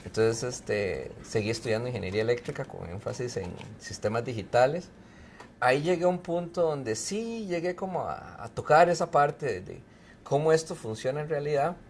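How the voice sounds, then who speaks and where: A middle-aged man, a professor and researcher from Mexico who grew up in Costa Rica, answering interview questions in a relaxed, explanatory way with frequent fillers. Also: mid-range voice, normal speed, slight background noise. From Mexico, raised in Costa Rica. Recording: faint music behind.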